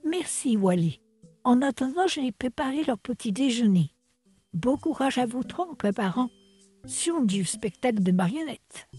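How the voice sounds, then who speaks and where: A woman's voice: on the high side, lively intonation, normal speed, dark tone, faint music behind, lightly acted. A woman voicing a kindly, caring nana in a cartoon comic dub. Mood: encouraging. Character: kindly, caring female household nanny figure.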